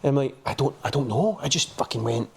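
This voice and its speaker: A man's voice: medium pitch, animated, fast, vocal fry, slightly rough. A Scottish man co-hosting a podcast, telling stories in casual, sweary chat and laughing often. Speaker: podcast co-host.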